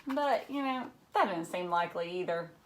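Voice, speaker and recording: A woman's voice: on the low side, expressive, normal speed, slight background noise. A woman reading a picture book aloud to children, theatrically, with questioning asides to the young listeners.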